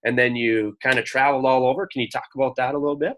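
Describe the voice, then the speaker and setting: A man's voice: medium pitch, racing, a little hiss or hum. Laid-back male podcast host. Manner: relaxed, hesitant questions with fillers. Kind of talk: casual interview.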